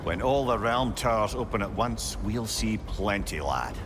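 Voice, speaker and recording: A man's voice: lowish voice, unhurried. An actor playing a wry, sarcastic old male advisor who trades dry quips. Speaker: video game character. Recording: game audio with steady background noise.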